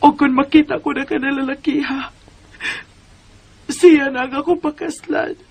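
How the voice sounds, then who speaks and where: Radio drama single mom, female, Cebuano accent: high-pitched, husky, emotional, music underneath. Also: steady tone, normal speed, a little mellow. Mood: tearful, grateful. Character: emotional, devout single mother being courted. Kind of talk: Bisaya radio drama acting.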